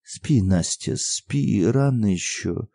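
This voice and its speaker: A man's voice: fairly low pitch, animated, slow, no room sound, full character voice. A man voicing a meek, tired peasant mother. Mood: hushing. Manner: soft, hushing.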